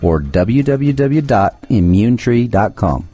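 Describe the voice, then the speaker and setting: A man's voice: lowish voice, lively intonation, takes it slowly, noisy background. A man who founded a company, reading his own scripted radio advertisement in an earnest, persuasive tone.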